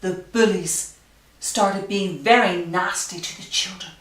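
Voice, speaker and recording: A woman reading a children's picture book aloud expressively, with dramatic emphasis and drawn-out key phrases. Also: mid-range voice, fairly bright tone. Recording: roomy echo, distant microphone.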